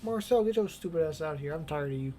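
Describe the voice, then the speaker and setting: A man's voice: fairly high pitch, lively intonation, fast talker, mellow. A man giving casual, slangy, irreverent commentary while he plays a video game. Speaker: game streamer. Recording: noisy background.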